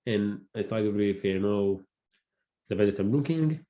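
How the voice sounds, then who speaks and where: A man with a Hebrew accent, a native Hebrew speaker, hosting a casual solo podcast and talking haltingly, often searching for words and restarting. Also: fairly low pitch, expressive, highs cut off. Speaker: podcast host.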